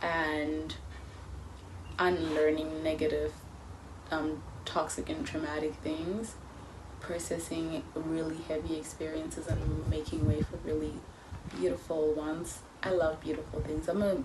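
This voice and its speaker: Soft-spoken young woman musing aloud. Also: low voice, flat delivery, measured pace, background noise. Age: 20s. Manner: earnest, musing, trails off into soft near-whispers. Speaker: vlogger. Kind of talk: reflective personal vlog.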